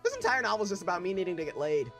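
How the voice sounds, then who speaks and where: Young guy reading a novel out loud, joking around in between, high bouncy voice, faint music behind. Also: huge pitch swings, rapid-fire. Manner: irreverent, joking asides. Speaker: reader of a novel. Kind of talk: reading a novel aloud with casual asides.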